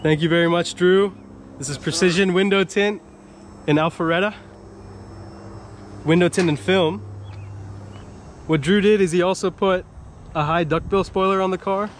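A man's voice: high voice, fairly even intonation, moderate pace, pauses often, slight background noise. A man in his thirties talking chattily and eagerly to an action camera outdoors, showing off details of his car. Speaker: car vlogger. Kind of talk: casual vlog narration. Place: outdoors at a shop lot and a mountain overlook. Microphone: action camera held or mounted.

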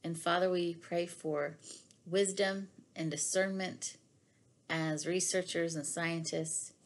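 A middle-aged woman reads devotional words aloud in a slightly low voice. Her pace is very slow and deliberate, with phrases set apart by pauses. She is recorded at home in a small room. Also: steady tone. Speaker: devotional reader. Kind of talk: reading a devotional aloud, then prayer.

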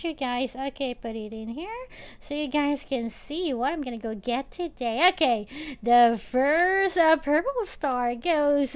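A woman casually commenting on her own gameplay, chatty and playfully whiny, thinking aloud with many filler sounds. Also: high voice. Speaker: gaming channel host. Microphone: tablet or phone mic.

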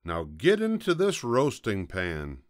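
A man voicing a hungry, gullible male fox in a children's storybook, with a gruff, blustery, gloating manner. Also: on the low side, wildly swooping pitch, takes it slowly, full character voice. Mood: gloating, bossy. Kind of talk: children's storybook read-aloud.

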